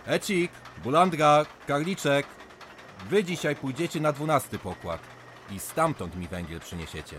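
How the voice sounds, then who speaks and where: A man's voice: on the high side, expressive, a bit bright, a little hiss or hum. A commanding mine foreman. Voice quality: commanding, brisk orders. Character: brisk authoritative male mine foreman. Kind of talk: radio drama acting.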